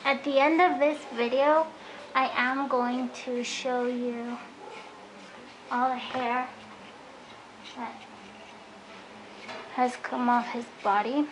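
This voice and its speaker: A woman's voice: high voice, fairly even intonation, takes it slowly, pauses often, background noise. A woman chatting casually and a little hesitantly in an informal, rambling way as she works as a dog groomer. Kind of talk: casual hands-on vlog chat while working. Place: indoor grooming room with metal tub. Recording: music playing in the background. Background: radio music.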